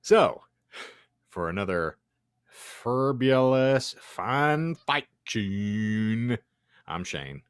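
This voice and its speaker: A man's voice: mid-range voice, sing-song, drawn-out words, clean tone, no echo, studio quality. A man hosting a comedic podcast, speaking in wordy, mock-formal announcer phrases. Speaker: podcast host. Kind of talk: comedic podcast hosting with fan fiction reading.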